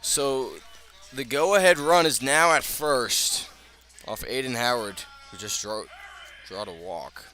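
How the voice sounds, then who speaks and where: Bright-voiced American baseball announcer, man. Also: mid-range voice, slow pace, soft background music. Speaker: radio play-by-play announcer. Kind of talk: live baseball play-by-play commentary. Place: outdoors at a ballpark. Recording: outdoor ballpark ambience, clear background noise.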